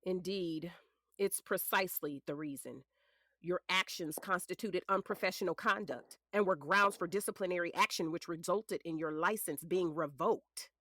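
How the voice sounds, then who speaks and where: A woman's voice: on the low side, moderate pace, fairly bright tone. A woman playing a polished defense attorney in a courtroom drama, formal, methodical and pointed. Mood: pointed, cutting. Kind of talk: audio drama acting.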